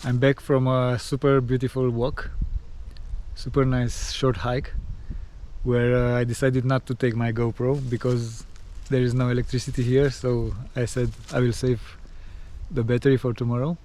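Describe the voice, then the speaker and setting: Mellow-voiced man vlogging outdoors. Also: medium pitch, little pitch movement, moderate pace, a little hiss or hum. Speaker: travel vlogger. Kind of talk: casual outdoor vlog talk to camera. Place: outdoors by a forest.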